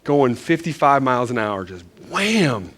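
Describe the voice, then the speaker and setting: A man from Northern Virginia preaching in a church, telling a story in a loose, animated, self-deprecating way with lots of restarts. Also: mid-range voice, unhurried. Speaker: pastor. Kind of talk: casual storytelling sermon.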